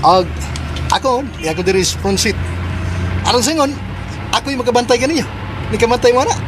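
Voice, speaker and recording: A man's voice: very high voice, animated, fairly quick, over music. A Filipino man playing a flamboyant, bossy, scheming son in a theatrical radio drama style. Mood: fussy, eager. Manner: theatrical, repeats orders insistently. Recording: noisy radio drama with vehicle ambience.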